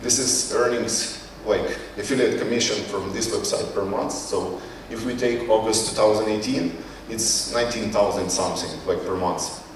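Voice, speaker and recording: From far off in a very echoey hall with a faint bed of noise comes a man's slightly low voice. He has a Ukrainian accent and speaks non-native English. He presents informally, haltingly, often searching for the right word. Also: fairly even intonation. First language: not English. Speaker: conference speaker, company CEO. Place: conference hall.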